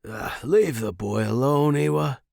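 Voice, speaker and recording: A man voicing an older male host, easygoing and gently protective of his dragon, in an audiobook story. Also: mid-range voice, measured pace, gravelly, no echo, studio quality. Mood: calm reassuring.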